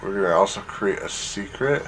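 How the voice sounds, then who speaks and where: A man presenting an informal software tutorial, thinking aloud as he works through each step. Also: sits low, lively intonation, normal speed. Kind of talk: casual screen-share walkthrough.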